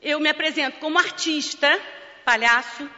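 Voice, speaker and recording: High bright Brazilian woman in a hall. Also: normal speed. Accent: Brazilian Portuguese. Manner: emotional, joking, fighting back tears. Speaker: charity president and clown. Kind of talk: award acceptance speech. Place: large parliament hall. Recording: lo-fi with long hall reverb.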